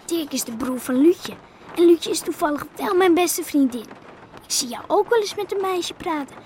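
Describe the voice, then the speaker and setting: A woman playing a young twin sister from a fishing family in a radio drama, defensive and anxious, with clear noise around her. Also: very high-pitched, animated, normal speed, darkish tone.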